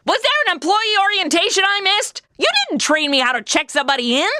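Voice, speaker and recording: A woman's voice: extremely high pitch, expressive, fast, sharp tone, dry recording, clean studio sound, exaggerated voice. An actress playing a sassy, flippant modern American woman who wisecracks her way through a comedy radio drama. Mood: brash, indignant.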